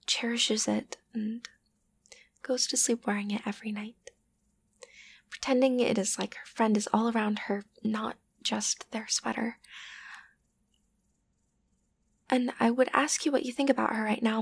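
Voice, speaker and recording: A woman voicing an obsessive, lovesick young yandere best friend in an ASMR roleplay, halting and intimate, often slipping into a whisper. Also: on the high side, no echo, heavily acted. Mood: hushed, wistful. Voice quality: breathy, often dropping to a whisper. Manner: halting, intimate, wavering between tender and agitated. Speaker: voice actor.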